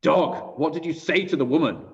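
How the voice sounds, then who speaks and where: Male reader as angry young German guard, raised pitch, barking accusations in a story, muffled audio. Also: lively intonation, normal speed, vocal fry. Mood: angry, menacing.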